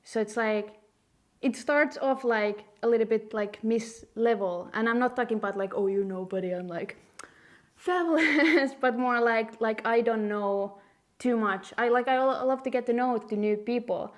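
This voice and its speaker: A woman with a Finnish accent chatting casually and candidly to camera as a video host, telling stories in a self-deprecating way. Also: mid-range voice. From Finland. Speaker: host of a puzzling video channel. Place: quiet room at home.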